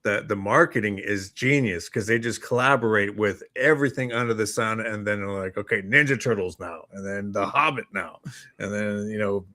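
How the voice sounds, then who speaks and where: Man, lowish pitch, crisp bright tone, casual co-host conversation. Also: normal speed, dry recording, clean studio sound. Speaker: co-host of a morning chat show. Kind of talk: casual chat.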